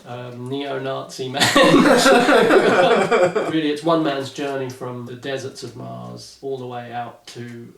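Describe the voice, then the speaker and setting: A man co-hosting a comedy show with a casual, sarcastic mock sales pitch, often laughing through his words. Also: takes it slowly, vocal fry, a bit bright, slight background noise. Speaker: show co-host promoting books. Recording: roomy echo, distant microphone.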